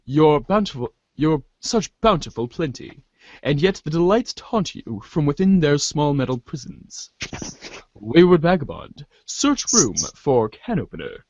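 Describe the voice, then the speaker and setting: A man's voice: a little high, big pitch swings, unhurried, a little mellow, no room sound, lo-fi sound. A man giving an amateur dramatic reading of narration, theatrical in delivery and now and then stumbling over the text. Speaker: narrator reading a webcomic aloud.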